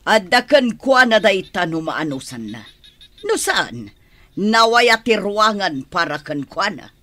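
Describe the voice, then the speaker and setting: A woman acting a stern, scornful middle-aged mother-in-law in a radio drama, with a sharp, demanding manner. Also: mid-range voice, very animated, takes it slowly, dry recording, exaggerated voice.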